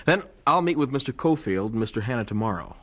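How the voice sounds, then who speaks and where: An earnest young missionary husband in an audio drama. His medium-pitched voice swings widely in melody, moving between steady conviction and dry teasing. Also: quick pace. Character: calm, principled young American missionary husband, dryly teasing.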